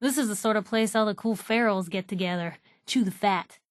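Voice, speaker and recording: An actress playing a sardonic, plucky young reporter with an American accent, making casual companion remarks in a video game. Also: female voice, mid-range voice, a little fast, dry recording, studio quality, lightly acted. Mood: wry. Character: wry, plucky young female reporter in a post-nuclear wasteland.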